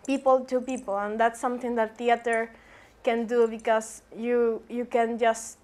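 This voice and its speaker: Earnest woman from Cuba, flat high voice. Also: unhurried. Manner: earnest, non-native grammar. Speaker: playwright. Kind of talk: panel introduction.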